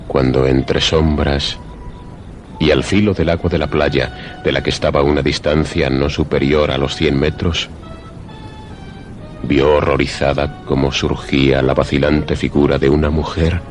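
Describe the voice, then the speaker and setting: A man acting as a grave, solemn narrator of a suspense and horror radio drama, with deliberate dramatic phrasing. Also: very deep voice. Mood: ominous, grave. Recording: dramatic music behind the voice.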